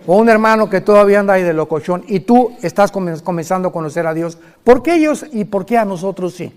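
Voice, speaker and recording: Emphatic older preacher, high voice. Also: male voice, darkish tone. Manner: emphatic, jokey asides, rhetorical questions. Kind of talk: sermon-style conference talk.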